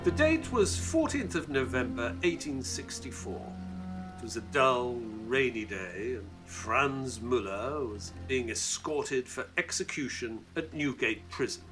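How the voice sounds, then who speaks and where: An actor playing an earnest Victorian gentleman doctor who narrates a case in an audio drama. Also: medium pitch, expressive, a little slow, music in the background, lightly acted. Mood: earnest storytelling.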